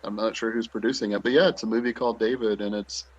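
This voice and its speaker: A man's voice: on the low side, fairly even intonation, quick pace, breathy edge, dull audio. A man speaking casually as a show guest, with a joking, self-deprecating manner. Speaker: guest, actor and show producer. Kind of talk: casual chat.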